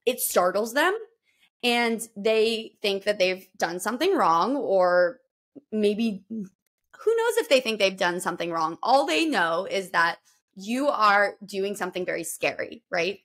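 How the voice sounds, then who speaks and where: Dog trainer lady on a podcast, middle pitch, patient and earnest, walks you through it. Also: big pitch swings, unhurried, fairly bright tone. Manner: explanatory, earnest. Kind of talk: podcast conversation.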